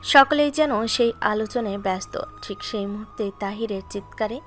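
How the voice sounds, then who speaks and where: A female narrator with a mid-pitched voice tells a scripted story. A music bed runs beneath her delivery. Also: normal speed, a bit bright.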